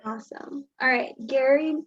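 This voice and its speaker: A woman's voice: high-pitched, normal speed, a bit bright. A woman hosting casual introductions on a group call. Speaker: meeting host. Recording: muffled video-call audio.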